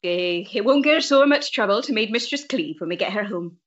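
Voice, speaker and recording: A woman's voice: mid-range voice, animated, a little fast, fairly bright tone, close to an everyday voice. Woman playing a chatty old servant, slightly muffled. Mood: chatty, obliging. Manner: homely dialect, garrulous. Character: old, chatty, devoted woman servant, wry about husbands. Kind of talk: audio drama acting.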